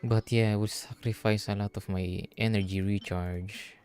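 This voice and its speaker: A man's voice: lowish voice, steady tone, moderate pace, a little mellow. A man from the Philippines with a Filipino accent, thinking aloud in casual livestream game commentary. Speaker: streamer. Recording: game music behind the voice. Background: video game music.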